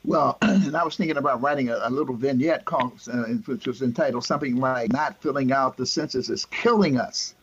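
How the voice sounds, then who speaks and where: Husky middle-aged man hosting, halting. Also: medium pitch, highs cut off. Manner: halting, searching for words, many restarts. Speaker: host. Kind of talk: interview questions.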